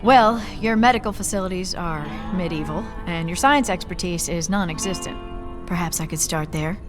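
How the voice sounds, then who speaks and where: A woman's voice: fairly low pitch, moderate pace, music in the background, lightly acted. A woman playing an idealistic, earnest scientist in a video game cutscene, speaking articulately. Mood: wry, curious.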